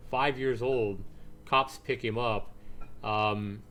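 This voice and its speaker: A man's voice: medium pitch, steady tone, slow, a bit bright, a little hiss or hum. A man giving casual, blunt, foul-mouthed live commentary as he plays through a game. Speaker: game streamer. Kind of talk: live playthrough, casual commentary and reading game text aloud.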